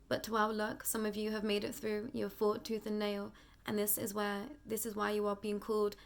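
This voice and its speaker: A hoarse young woman speaks in a strikingly flat, unvarying tone. Her voice is gravelly and worn from nearly losing it. She reads a channeled spiritual message slowly and solemnly. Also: medium pitch. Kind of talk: reading a channeled message aloud.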